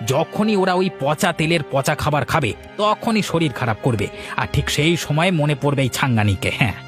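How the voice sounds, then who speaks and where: A man's voice: fairly high pitch, lively intonation, normal speed, fairly bright tone, faint music behind. A man voicing a proud, stubborn village shopkeeper in a cartoon, firm and admonishing. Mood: stubbornly reassuring. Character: proud, stubborn male village kochuri shopkeeper, principled and patient. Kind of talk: cartoon voice acting.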